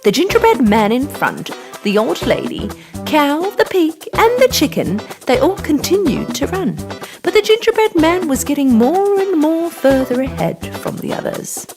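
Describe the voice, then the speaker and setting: A woman narrating a children's story in an animated, expressive way. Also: high-pitched, moderate pace. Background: music.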